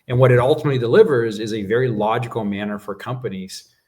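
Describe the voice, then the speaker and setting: A man whose first language is Portuguese, calmly explaining his work as a guest in a business interview, with frequent fillers. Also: mid-range voice. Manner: measured explanations with frequent "uh" and "right?". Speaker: guest executive.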